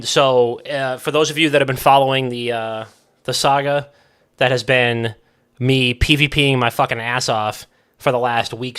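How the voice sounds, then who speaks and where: A man with a bright, forward voice at a middle pitch co-hosts a gaming podcast. He talks in loose, slangy sentences and swears freely, like he is among his buddies. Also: clean tone. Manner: profane, slangy, bro-like informal talk. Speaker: podcast co-host. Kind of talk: casual chat on a gaming podcast.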